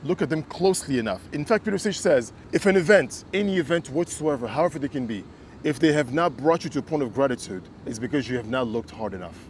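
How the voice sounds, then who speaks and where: A man's voice: medium pitch, expressive, fast talker, slight background noise. Earnest man from Kinshasa, emphatic. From Kinshasa, Congo. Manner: earnest, emphatic, with stammered repeats. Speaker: co-host. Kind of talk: casual conversation.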